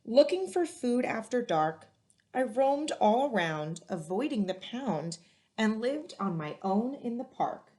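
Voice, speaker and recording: A woman with a fairly high voice hosts a read-aloud for children. She phrases things clearly, in the manner of someone telling a picture-book story to young listeners. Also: sing-song, a little slow, dark tone. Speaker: museum educator and read-aloud host.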